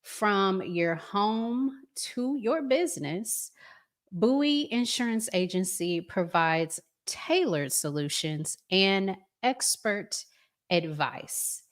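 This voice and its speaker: A woman hosting a live stream, giving casual, conversational commentary to viewers with deliberate pauses. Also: mid-range voice, lively intonation.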